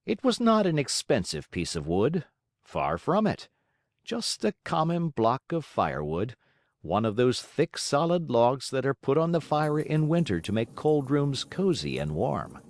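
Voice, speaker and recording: A man's voice: mid-range voice, huge pitch swings, measured pace, dry recording, studio quality. A man narrating a children's story as an audiobook reader, in a theatrical, emphatic way.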